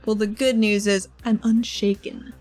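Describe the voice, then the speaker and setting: A woman's voice: mid-range voice, level tone, a little slow, darkish tone, faint music behind. A woman chatting casually as a player in a tabletop roleplaying game, informal and sweary.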